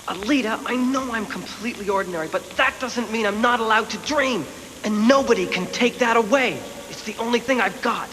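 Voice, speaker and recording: A man's voice: sits high, brisk, bright, forceful tone. A man acting a desperate, headstrong young man on the run, earnest and urgent, in an English anime dub. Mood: defiant. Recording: noisy VHS tape, long hollow reverb.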